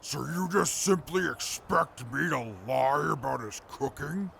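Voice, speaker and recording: A man playing a proud, stubborn super mutant in a post-apocalyptic audio drama, gravelly and pompous in his formal wording. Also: a little high, measured pace, fairly bright tone, a little hiss or hum, heavily acted. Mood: grudging, haughty.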